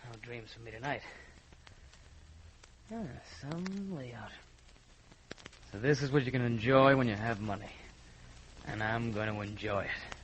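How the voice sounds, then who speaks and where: A man's voice: medium pitch, unhurried, pauses often, close to an everyday voice. An actor playing a guilty, nightmare-haunted man in a 1950s radio thriller, pleading and stammering. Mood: relieved, smug. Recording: noisy vintage radio recording.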